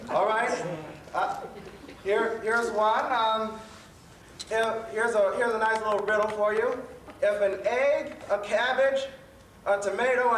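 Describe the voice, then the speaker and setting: A man playing a cheerful professor who hosts a children's storytelling stage show, speaking haltingly and stumbling over his words. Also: sits high, a bit bright. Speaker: storyteller host. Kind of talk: live children's theatre hosting, telling jokes. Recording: echoey stage, distant mic, faint noise.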